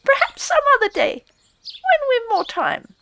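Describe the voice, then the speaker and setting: A tiny storybook field mouse, pitched very high and swooping. It is fussy and busy, stiffly polite and always a little flustered, over some background noise. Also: female voice, wildly swooping pitch, gravelly, exaggerated voice. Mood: hurried. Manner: hurried, stiff, shamefaced. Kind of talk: audiobook character voice.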